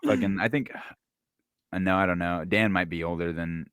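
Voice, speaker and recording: Deep-voiced young man, laid-back and swearing. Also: steady tone, moderate pace, fairly bright tone, dry recording, clean studio sound. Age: 20s. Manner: laid-back, swears freely, laughs often. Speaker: podcast co-host, musician. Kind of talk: casual chat.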